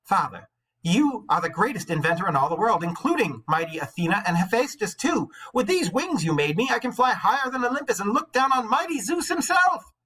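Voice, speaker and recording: A proud, reckless storybook boy from Greek myth, acted out by a man reader. The voice leaps high and bright, full of exultant boasting and exclamation. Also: very animated, a little fast, slightly rough, full character voice, rough recording. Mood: proud, giddy. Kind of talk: dramatic storybook read-aloud.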